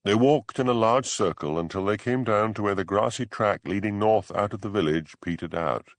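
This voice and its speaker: A man's voice: lowish voice, moderate pace, no room sound. A man reading a novel aloud as a scripted audiobook narration.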